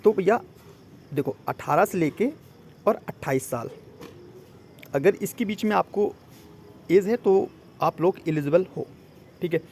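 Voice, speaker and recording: A man's voice: high-pitched, unhurried, pauses often, a little mellow, a little hiss or hum. A man gives an informal explainer, mixing in English words and often checking that listeners follow. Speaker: exam coaching presenter. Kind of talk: informal explainer of a job notice.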